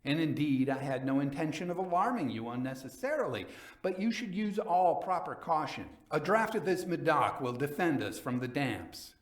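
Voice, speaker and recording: Man playing a solicitous schemer, lilting and deliberate. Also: fairly high pitch, expressive, echoey room, recorded from a distance, pitched above the performer's own voice, natural voice. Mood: soothing, coaxing. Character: cunning male avenger feigning tender concern for a friend. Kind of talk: dramatic gothic storytelling.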